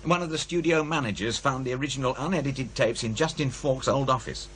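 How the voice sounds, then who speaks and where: An actor playing a sceptical, determined programme presenter, brisk and argumentative, in radio drama dialogue. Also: male voice, medium pitch, fairly bright tone, slight background noise, close to an everyday voice. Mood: keen.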